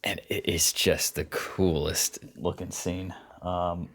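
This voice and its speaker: A man's voice: low-pitched, little pitch movement, takes it slowly, fairly bright tone. A man co-hosting a podcast, chatting casually as he presents video game clips. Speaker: podcast co-host.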